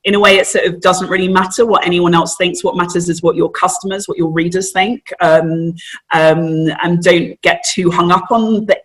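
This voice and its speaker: A woman panelist speaks over a video call, upbeat and encouraging. She rambles a little, filling pauses with "um". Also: fairly low pitch, poor audio. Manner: encouraging, rambling, many ums.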